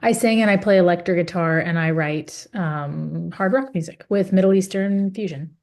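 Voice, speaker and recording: A young woman speaking on a webinar panel over a video call, earnest and halting, with many fillers and restarts. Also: on the low side, darkish tone. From United States. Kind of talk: webinar testimony.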